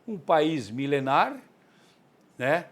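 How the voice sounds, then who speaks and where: A man's voice: on the high side, big pitch swings, extremely slow. An older man from southern Brazil, an author giving an earnest interview answer and often adding "né". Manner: earnest, enthusiastic; frequent tag "né".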